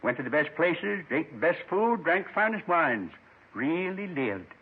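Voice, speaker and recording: An actor playing a lonely, gentle man in his seventies, folksy and wistful, confessing in short rambling phrases in 1950s radio drama style. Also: fairly high pitch, big pitch swings, unhurried, breathy edge, heavily acted. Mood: proudly nostalgic. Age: 70s. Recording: muffled 1950s radio recording.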